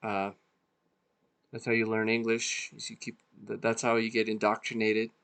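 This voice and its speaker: A man born in Serbia, co-hosting a podcast in a relaxed, casual chat, swearing freely and trailing off mid-thought. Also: fairly low pitch, moderate pace, vocal fry, smooth. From Serbia (former Yugoslavia). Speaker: podcast co-host.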